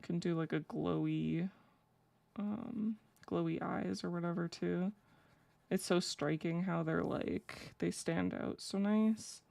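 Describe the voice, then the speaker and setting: Low, gravelly young woman chatting. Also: steady tone, takes it slowly, a little mellow. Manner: rambling, filler-heavy, laughs easily. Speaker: streamer drawing fanart. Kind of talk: casual live-stream chat while drawing.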